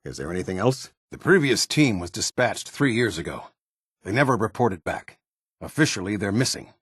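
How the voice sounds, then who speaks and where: A man voicing a stern, disciplined military captain in a video game, with a husky voice and a clipped, commanding, formal delivery. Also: fairly low pitch, animated, moderate pace, fairly bright tone, no echo, clean studio sound. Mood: curt, formal. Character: stern male military captain, disciplined, exacting, loyal to his order.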